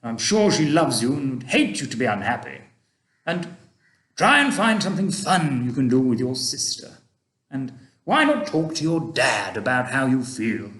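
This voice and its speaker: A man's voice: medium pitch, sing-song, moderate pace, a bit bright, reverberant. A man voicing a kindly, genteel old male tramp, polite and old-fashioned. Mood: gentle, reassuring.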